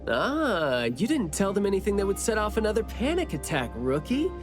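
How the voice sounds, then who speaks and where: An actor playing a chatty, teasing, curious young investigator in a game cutscene, with a breezy, wry manner. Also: male voice, high voice, huge pitch swings, normal speed. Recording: music behind the voice.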